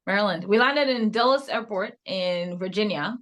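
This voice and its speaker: Woman, 30s, Cameroonian-English accent with an American lean, lively reflective guest. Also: medium pitch, normal speed. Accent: Cameroonian English, softened toward American. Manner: reflective, animated storytelling with frequent laughs. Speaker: podcast guest. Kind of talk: casual interview conversation.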